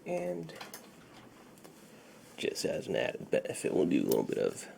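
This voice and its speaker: A man speaking softly and breathily, often whispering, in an unhurried, reassuring ASMR roleplay as a friendly dealer. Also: very deep voice, wildly swooping pitch, vocal fry, slight background noise. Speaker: ASMR performer. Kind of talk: soft-spoken ASMR roleplay.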